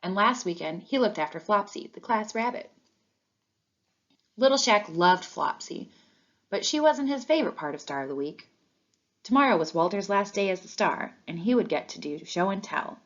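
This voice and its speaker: A woman reading a children's storybook aloud in an expressive narrating style, on a dull lo-fi recording. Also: mid-range voice, moderate pace. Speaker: storybook reader.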